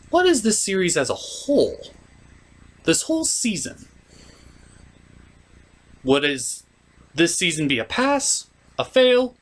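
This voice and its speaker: A man's voice: a little high, fairly bright tone. A man giving a casual, opinionated cartoon review as an online host, blunt and a bit showy.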